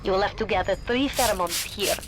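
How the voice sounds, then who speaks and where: A woman voicing a stern, remorseful middle-aged German scientist in a video game, with a German accent and clipped, slightly broken English, over loud game music. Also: mid-range voice, a little fast, background noise, lightly acted. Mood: instructing.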